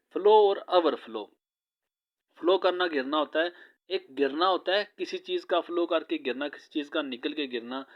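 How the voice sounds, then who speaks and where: A man with a Pakistani accent teaching a novel, reading English lines slowly and explaining them at length in Urdu-flavored Hindi. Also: a little high. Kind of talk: line-by-line novel translation lesson. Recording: slightly dull lo-fi sound.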